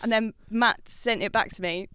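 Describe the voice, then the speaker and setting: A woman's voice: on the high side, moderate pace, sharp tone, clean tone, no room sound. A woman, hosting a podcast with chatty, teasing interview talk. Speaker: podcast host. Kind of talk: casual interview chat. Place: podcast studio.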